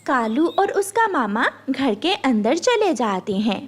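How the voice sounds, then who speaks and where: A woman's voice: high voice, sing-song, slow. A woman reading a scripted children's cartoon story as narrator.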